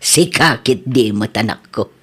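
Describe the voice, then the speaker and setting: A woman's voice: very deep voice, animated, measured pace, fairly bright tone, husky, exaggerated voice. A woman playing an inquisitive, concerned older mother in a comedy radio drama. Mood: questioning, surprised.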